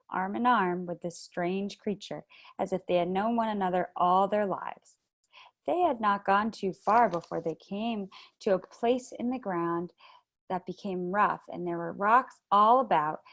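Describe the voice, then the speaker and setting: A woman reading a children's novel aloud in a calm, even storytelling manner, heard over a video call. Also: mid-range voice. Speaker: children's read-aloud host.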